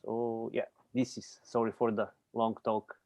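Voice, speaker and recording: Slow-talking man from Bulgaria. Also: medium pitch, flat delivery, a little mellow, no room sound. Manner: halting non-native phrasing with laughs. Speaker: meeting participant, programmer. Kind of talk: casual self-introduction on a call.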